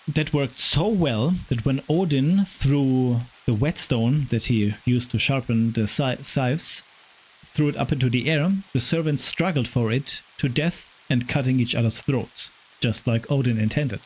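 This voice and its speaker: A man with a raspy voice hosting a podcast, retelling myths in a chatty way, stumbling over hard names. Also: mid-range voice, unhurried, rough recording. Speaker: podcast host. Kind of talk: casual storytelling of Norse myth.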